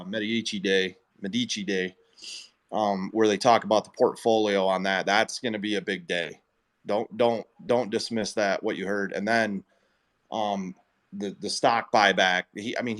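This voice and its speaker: A man with a fairly low voice hosts a live audio call. He talks informally and with some enthusiasm, like someone chatting with friends. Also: a bit bright. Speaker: host of live investor audio calls. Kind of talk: casual chat in a live group audio call.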